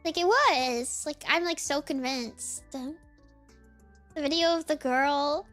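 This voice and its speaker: High-voiced woman rambling on stream. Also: slow, fairly bright tone, soft background music. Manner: rambling, filler-heavy, giggly. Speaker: VTuber streamer. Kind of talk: casual chat stream.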